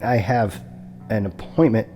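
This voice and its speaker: An actor playing a meek, courteous, big property broker who stammers on his consonants and speaks in a formal, halting way in an audio drama. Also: lowish voice, dark tone, faint music behind, close to an everyday voice. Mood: apologetic, nervous. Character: large muscular male property broker, meek, anxious, courteous.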